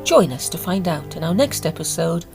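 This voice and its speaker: Lowish-voiced woman narrator over music. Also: sing-song, moderate pace, gravelly. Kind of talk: scripted story narration.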